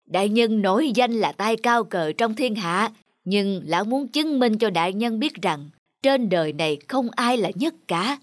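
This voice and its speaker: An actor playing a wise, humble old countryside man in an animated folk tale, speaking politely and with measured calm. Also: high voice, dry recording. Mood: gently instructive. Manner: measured, polite, gently instructive. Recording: music under the dialogue.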